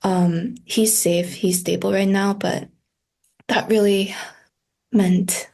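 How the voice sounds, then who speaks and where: A young woman from South Korea, a native Korean speaker, talking quietly and haltingly while still shaken. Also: mid-range voice, fairly even intonation, gravelly.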